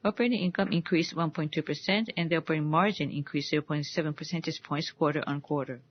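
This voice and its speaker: Measured woman presenter, muffled conference call sound. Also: low-pitched. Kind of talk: formal financial results presentation.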